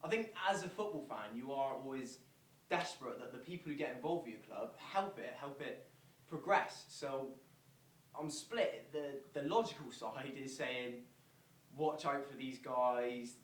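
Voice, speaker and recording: A man's voice: mid-range voice, measured pace, close to an everyday voice. A man playing a wary but hopeful football fan in a stage monologue, torn between head and heart. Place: theatre performance space, recorded from far off. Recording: distant, echoey, noisy stage recording.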